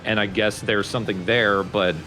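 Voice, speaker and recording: A man's voice: on the low side, fairly even intonation, normal speed, music in the background, rough recording. A man giving casual, analytical commentary as a let's play host.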